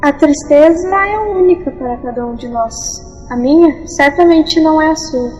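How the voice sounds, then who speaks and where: A woman playing a gentle, wise young enchanted lake maiden in an audio fantasy storybook, speaking tenderly and with measured phrasing. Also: high-pitched, close to an everyday voice. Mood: tender, wistful. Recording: dull, long reverb, faint music.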